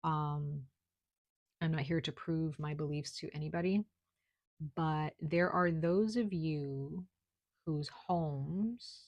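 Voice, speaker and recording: Soft-spoken woman with a gravelly low voice. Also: slow pace, no echo. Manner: speaks softly at times, lots of "like" and "okay?". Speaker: tarot reader. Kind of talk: casual spiritual reading to camera. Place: at home, noise outside.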